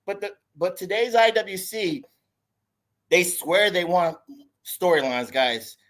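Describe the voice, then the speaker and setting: A hoarse man trades takes on a wrestling podcast. He pushes his opinions hard and speaks right at the listeners in a relaxed, chatty way. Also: high voice, takes it slowly. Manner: opinionated, talks straight to listeners. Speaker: podcast co-host. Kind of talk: casual wrestling chat.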